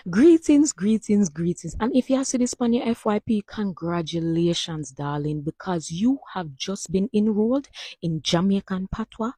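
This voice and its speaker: A woman's voice: mid-range voice, lively intonation, moderate pace, warm tone, husky, no room sound. A woman giving a playful mock lecture that welcomes students to a course. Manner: teacherly, announcing a course. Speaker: social media creator.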